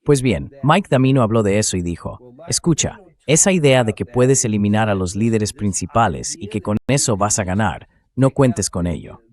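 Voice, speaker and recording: A man's voice sits a little on the low side. It is a synthetic dubbing voice, used for a host giving spoken political commentary in translation. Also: expressive, moderate pace, a little mellow.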